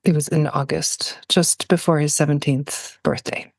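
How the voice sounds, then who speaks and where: Low-voiced, thoughtful woman in conversation. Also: fairly even intonation, darkish tone, no room sound, studio quality. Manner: halting, thoughtful, searching for words. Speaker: podcast guest, poet. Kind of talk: reflective personal conversation.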